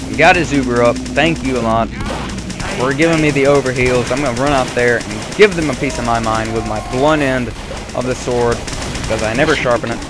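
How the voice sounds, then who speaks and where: Rambling, slightly hoarse young man gaming. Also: mid-range voice, a little fast. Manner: rambling, self-correcting, chatty. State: getting hoarse. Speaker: gaming video host. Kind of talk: casual gameplay commentary. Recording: loud game music behind. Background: game sounds and gunfire.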